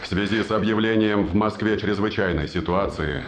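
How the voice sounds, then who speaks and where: Man acting low, grave emergency announcer. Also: fairly quick, lightly acted. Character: formal TV announcer interrupting for an emergency. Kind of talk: formal emergency broadcast.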